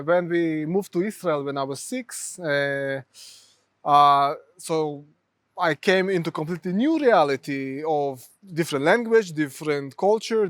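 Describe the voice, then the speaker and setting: A man from Ukraine with a Ukrainian accent, a therapist telling stories from his life as a podcast guest, halting with many fillers. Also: a little high, fairly bright tone, dry recording, clean studio sound. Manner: halting, many fillers, non-native phrasing. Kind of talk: storytelling in conversation.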